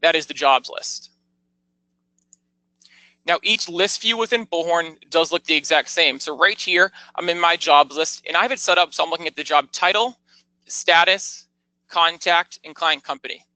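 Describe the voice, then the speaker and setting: A man with a fairly high, bright voice presents a software demo. He talks informally and explains each step in turn. The sound is close and dry, like a screen-recording voice-over. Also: normal speed, dry recording, poor audio. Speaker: software product demonstrator. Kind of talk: conversational product tour narration.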